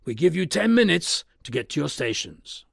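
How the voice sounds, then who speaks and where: A man voicing a stern middle-aged male lawyer who talks in a formal, commanding way with a gravelly edge. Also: on the high side, big pitch swings, normal speed, no echo, studio quality, pitched above the performer's own voice, exaggerated voice. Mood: resolute.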